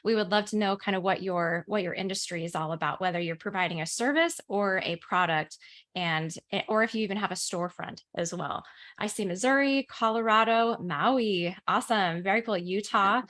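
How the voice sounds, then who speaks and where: A woman's voice: mid-range voice, normal speed, fairly bright tone. A woman from the US, a business coach, hosting a webinar over a video call in an upbeat, enthusiastic way. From United States.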